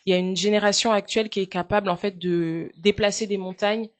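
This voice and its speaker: A French woman in her thirties talks through an interview in an earnest, measured way. Her voice sits at an ordinary middle pitch, and she stops often on small hesitant fillers. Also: steady tone. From France. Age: 30s. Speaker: guest, charity founder. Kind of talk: interview answers.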